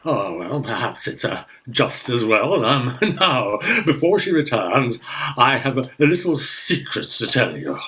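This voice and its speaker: A man's voice: mid-range voice, very animated, unhurried, fairly bright tone. A man playing a pompous older guardian uncle in a theatrical radio farce, with florid, hesitant speech. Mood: pompous delight.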